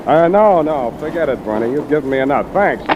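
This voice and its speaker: A man's voice: a little high, animated, brisk, background noise, lightly acted. A man playing a wry, world-weary hardboiled detective, dry and wisecracking, in 1940s radio noir style. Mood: wry narration. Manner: dry, wisecracking, hesitant drawn asides. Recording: dull vintage radio recording, loud background.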